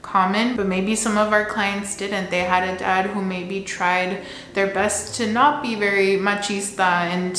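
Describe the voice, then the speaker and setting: Flat-toned candid woman counselor. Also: mid-range voice, roomy sound, a little hiss or hum, rough recording. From Texas border region, US. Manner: candid, conversational, slips in Spanish words. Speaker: licensed counselor. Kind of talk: casual talk to camera. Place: indoor home room with plants and shelves.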